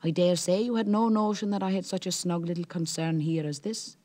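A man's voice: high voice, fairly even intonation, a little fast, mellow, voice pushed up, natural voice. Man putting on a sly old sea merrow. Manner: knowing, wheedling, boisterous.

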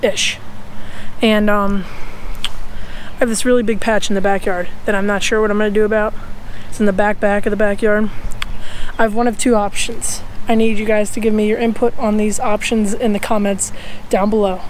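A man's voice: high voice, level tone, moderate pace, a little hiss or hum. A young man talking casually to his viewers while working on his lawn outdoors. He rambles a bit, restarting sentences and trailing off. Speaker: lawn care channel host. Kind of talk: casual outdoor vlog narration. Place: outdoors in a grassy townhouse backyard. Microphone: action camera at arm's length.

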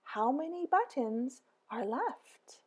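A woman reading a children's picture book aloud in a slow, sing-song way, with big excited exclamations. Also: high-pitched, breathy edge. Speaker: storybook reader.

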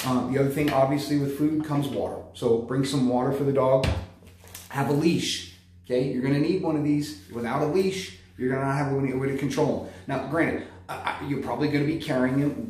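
A man's voice: mid-range voice, darkish tone. Man, 30s, dog trainer, instructional vlog, tags points with okay, strongly echoey room. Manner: practical, tags tips with "okay?". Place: indoor room by a window.

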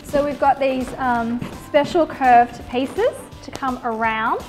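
A woman's voice: sits high, slow, faint music behind. A woman, a renovation designer, bantering playfully on a building site. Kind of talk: casual chat on a building site.

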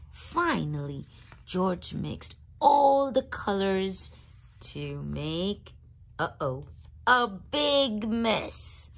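Woman reading a kids book aloud, super lively pitch, slow, bit creaky. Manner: animated, drawing out key words for children. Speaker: storybook reader. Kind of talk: expressive children's story read-aloud.

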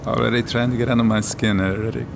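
An actor playing a pompous, threatening prison warden in a video game, domineering in manner. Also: male voice, on the low side, fairly even intonation, quick pace, creaky, noisy background. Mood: smug threat. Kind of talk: cinematic video game acting.

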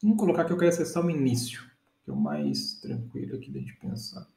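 A man's voice: mid-range voice, wildly swooping pitch, fast talker, a little mellow. Brazilian man teaching at a whiteboard, echoey. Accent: Brazilian Portuguese. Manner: explains step by step, keeps checking in with listeners. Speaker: data engineering instructor. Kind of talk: casual whiteboard lesson.

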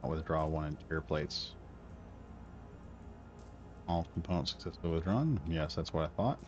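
A man's voice: a bass voice, normal speed, a little mellow. A man streaming a building game, rambling casually and talking himself through each step as he builds. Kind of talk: casual gameplay commentary. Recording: slightly dull audio with faint music.

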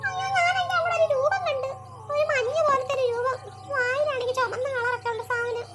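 A woman's voice: very high-pitched, fairly even intonation, a little fast, over music, pitched above the performer's own voice. A woman voicing a frightened little girl who insists she has seen a snow ghost, in a homemade toy play story. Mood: scared, insistent.

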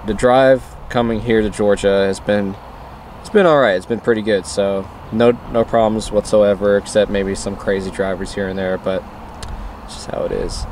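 A man's voice: fairly low pitch, flat delivery, moderate pace. A man in his twenties chatting casually to the camera as a vlogger, in a laid-back way. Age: 20s. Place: parked car and outdoor rest stop. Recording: noisy, car and outdoor background noise.

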